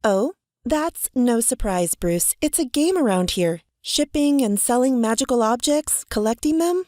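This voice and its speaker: A woman's voice: a little high, lively intonation, measured pace, darkish tone, no echo, studio quality. A woman playing a guarded, defiant stage magician in an audio drama, with a wry, self-assured manner.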